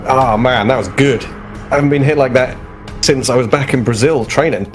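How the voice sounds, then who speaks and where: A man's voice: medium pitch, normal speed, faint music behind, natural voice. A man playing a cocky, sporty starship crewman in a tabletop game, talking in a boastful, informal way. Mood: pleased, winded.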